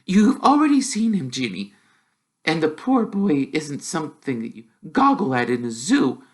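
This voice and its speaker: Man as a fussy storybook mum, raised pitch, animated, kindly yet firm, scolding. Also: normal speed. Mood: gently concerned. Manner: fussing, scolding. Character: kindly but stern mother of a big brood.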